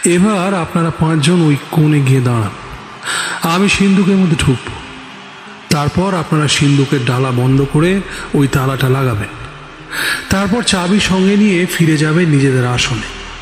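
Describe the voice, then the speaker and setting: A man's voice: a little high, moderate pace, long pauses, echoey room, in a large hall, lightly acted. A man acting a boastful, commanding stage magician in a theatrical, declamatory way for an audio drama. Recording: background music.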